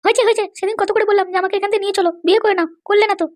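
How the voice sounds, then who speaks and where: A woman's voice: extremely high pitch, fairly even intonation, very fast. A woman voicing a sulky, lovestruck young bride in a comedy cartoon, gushing and pouting.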